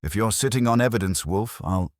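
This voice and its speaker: Man doing a gruff blustering police inspector. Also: lowish voice, lively intonation, brisk, no room sound, studio quality, pitched above the performer's own voice, close to an everyday voice. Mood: threatening. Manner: barking, accusatory, blunt.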